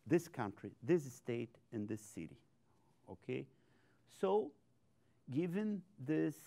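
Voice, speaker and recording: An older Brazilian man with a Portuguese accent gives an animated lecture in a large auditorium, often tagging sentences with "okay?". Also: on the high side. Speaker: guest speaker, scientist. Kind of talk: conference lecture to an audience.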